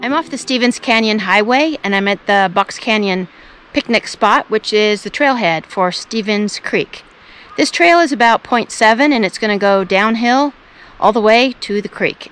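A middle-aged woman talking casually to a handheld camera outdoors, giving relaxed, informative tips on hiking spots and road stops. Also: medium pitch, moderate pace, a little hiss or hum. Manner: relaxed, informative tour-guide talk. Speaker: hiking vlogger. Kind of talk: casual travel vlog narration. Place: outdoors by roads, parking lots and trails. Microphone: handheld camera at arm's length.

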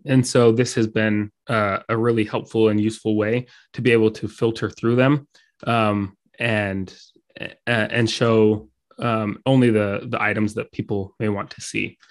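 A man's voice: fairly low pitch, level tone, measured pace. Guy with a dry throat demoing code on a call, lots of ums, casual. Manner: many ums and restarts, casual explaining. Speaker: meetup speaker. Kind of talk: live coding talk.

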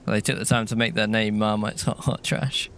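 A man's voice: lowish voice, little pitch movement, normal speed, fairly bright tone, a little hiss or hum. A man from Britain co-hosting a game stream, chatting casually, thanking donors and singing little jingles. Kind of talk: casual chat while gaming. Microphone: gaming headset.

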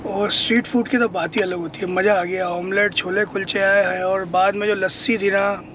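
A man's voice: high voice, little pitch movement, moderate pace, a bit bright, slight background noise. A man chatting and joking as he vlogs a family road trip, laughing often. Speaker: travel vlogger. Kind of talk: casual family travel vlog. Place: roadside stall, car and family home.

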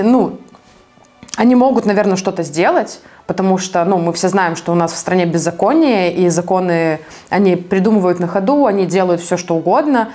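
A woman from Belarus answering interview questions as an activist, speaking conversationally and a bit haltingly as she thinks aloud. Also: lowish voice, mellow.

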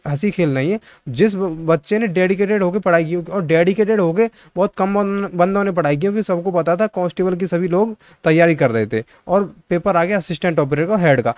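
Young man, clear higher voice. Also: darkish tone, no echo. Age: 20s. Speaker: YouTube job-news presenter. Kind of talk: casual informal update to viewers. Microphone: close microphone in front of mouth.

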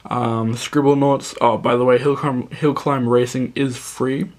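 A young man with a croaky voice from a cold, casually walking through the apps on his tablet in a dim room, rambling and correcting himself as he goes. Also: mid-range voice, steady tone, unhurried. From Australia. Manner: rambling, self-correcting, apologetic. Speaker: app reviewer. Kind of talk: casual app walkthrough. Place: dim room indoors, filming a tablet screen.

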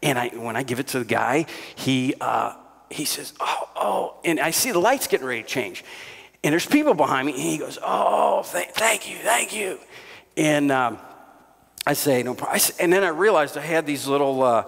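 A middle-aged man preaching a storytelling sermon on a church stage, speaking through a headset mic with animated delivery and sudden raised bursts. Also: a little high, moderate pace, gravelly. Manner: animated, gestures widely, bursts into raised shouts. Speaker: pastor. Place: church stage before a congregation. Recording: long hall reverb.

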